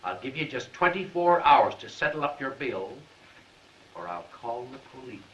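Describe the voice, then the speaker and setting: A man plays a shrewd, suspicious hotel manager in a 1930s drama. His voice is mid-pitched, curt and measured, on a very noisy, dull old film track. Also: sing-song, roomy sound, natural voice. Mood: stern, threatening.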